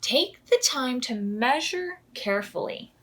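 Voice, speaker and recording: A woman's voice: sits high, animated, unhurried, bright, forceful tone, husky. A woman hosting a children's storytime, reading aloud expressively in simple phrases for toddlers. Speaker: children's storytime host at a library.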